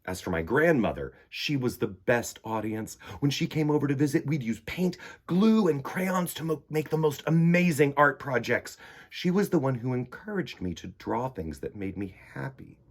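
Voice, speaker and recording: A man's voice: medium pitch, animated, moderate pace. A man reading a children's picture book aloud expressively, with dramatic delivery and conversational asides. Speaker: storytime host reading aloud.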